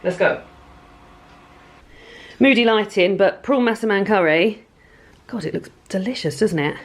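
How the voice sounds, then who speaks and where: A woman's voice: mid-range voice, unhurried. A woman chatting casually in a home vlog diary about her plans and reading, rambling and correcting herself as she goes. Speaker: book vlogger.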